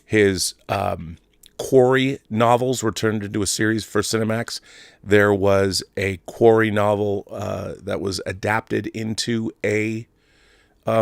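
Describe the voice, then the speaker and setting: A man's voice: lowish voice, gravelly, no room sound, studio quality. A man in his fifties hosting a film fan show with casual, emphatic commentary, often digressing and repeating phrases for stress. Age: 50s. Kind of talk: casual live commentary and reading viewer mail.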